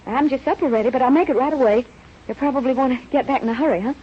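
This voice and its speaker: An actress playing a devoted, anxious young wife in a 1930s radio drama. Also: female voice, high voice, very fast, warm tone, husky. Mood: bright, caring. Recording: dull, noisy old radio recording.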